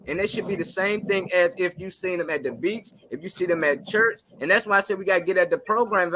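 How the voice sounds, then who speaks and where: A man's voice: high voice, sharp tone, a little hiss or hum. A man on a talk show panel chatting casually and arguing his points emphatically. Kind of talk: casual debate chat.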